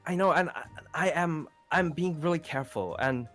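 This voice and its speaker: A man's voice: a little high, fairly bright tone, soft background music, lightly acted. Man playing an earnest, hesitant young best friend. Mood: awkward, confiding. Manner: halting, repeats words, pads with "you know". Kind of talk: improvised Minecraft roleplay acting.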